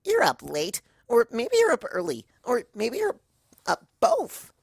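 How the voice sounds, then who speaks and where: A man voicing a hyper, cheerful young female pony who talks loud and bubbly. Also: very high-pitched, huge pitch swings, unhurried, creaky, breathy edge, no echo, clean studio sound, pitched above the performer's own voice, heavily acted.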